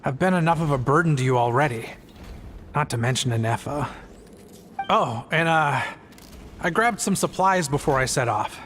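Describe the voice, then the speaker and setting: A man's voice: a little high, lively intonation, normal speed, long pauses, a bit bright, slight background noise. A man voicing a weary, guilt-burdened family man in a video game, hesitant and confessional. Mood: weary, resigned.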